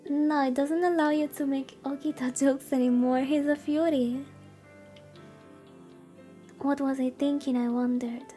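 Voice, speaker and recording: A woman with a high-pitched, gently dark and relaxed voice reads a visual novel on a livestream. She is informal, mixing narration with playfully exasperated asides, over faint background music. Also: a little slow, pauses often. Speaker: streamer reading a visual novel aloud. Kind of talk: casual livestream reading with commentary.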